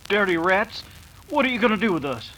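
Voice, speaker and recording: Casting: male actor as earnest young foreman, high forceful voice, defiant, vintage radio western. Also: very animated, brisk, exaggerated voice, rough recording. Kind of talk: 1930s western radio acting.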